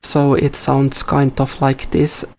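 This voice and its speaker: Finland-Swedish man, Finnish native, slow unhurried live-coding chat, halting and thinking aloud. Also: mid-range voice, completely flat delivery, vocal fry, darkish tone, a little hiss or hum. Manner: hesitant, thinks aloud with many fillers. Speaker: live coder answering chat. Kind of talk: casual thinking-aloud commentary.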